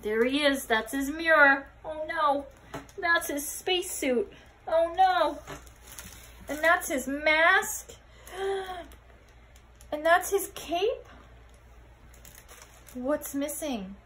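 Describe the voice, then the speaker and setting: A woman reading a children's picture book aloud expressively, pausing to put questions to young listeners. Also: high voice, bright, forceful tone, a little hiss or hum. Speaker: read-aloud storyteller.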